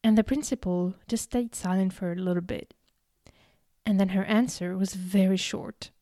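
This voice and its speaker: A woman in her thirties, from Algeria, giving an earnest, deliberate storytelling speech with emphatic repetitions. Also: mid-range voice, warm tone, no echo, studio quality. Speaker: speaker giving a talk. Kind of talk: prepared personal storytelling speech.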